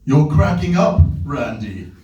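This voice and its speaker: A man playing a nervous, lonely, balding homebody with exaggerated, drawn-out delivery in a comedy table read. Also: a little high, big pitch swings, dull audio, over music. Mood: awkward, forlorn. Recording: very echoey room, distant mic, audience.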